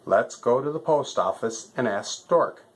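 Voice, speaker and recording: A man's voice: medium pitch, expressive, unhurried, pitched above the performer's own voice. A man voicing a sensible female elephant who reasons matter-of-factly in a children's storybook. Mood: reasonable.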